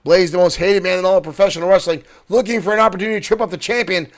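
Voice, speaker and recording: A man doing excited play-by-play commentary for a digital wrestling show, shouting at big moments and losing his voice. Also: high voice, racing.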